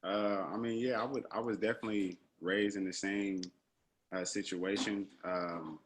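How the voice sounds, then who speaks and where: A man in his thirties, a guest on an interview show, answering haltingly with many "you know"s. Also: lowish voice, steady tone, slight background noise. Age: 30s.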